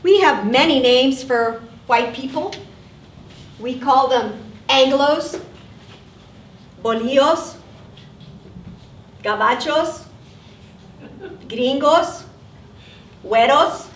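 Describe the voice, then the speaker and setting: An older woman tells her story onstage with wry humor, her voice high and her pace slow and deliberate. She sounds roomy and set back from the mic, with faint theater ambience around her. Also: recorded from a distance, slight background noise. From South Texas, USA. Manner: wry, animated storytelling with acted asides. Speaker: solo performer. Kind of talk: storytelling stage monologue. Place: theater stage.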